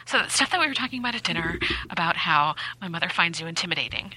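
A woman's voice: on the low side, animated, fast, pushed and bright, breathy edge, voice pushed down, exaggerated voice. A woman improvising a nervous girlfriend on a phone call, hesitantly asking to be heard.

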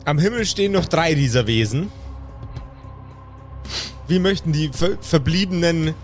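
A man's voice: a little high, animated, measured pace, faint music behind. A man improvising narration as game master of a tabletop game, addressing his players with playful formality. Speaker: game master of a pen-and-paper podcast.

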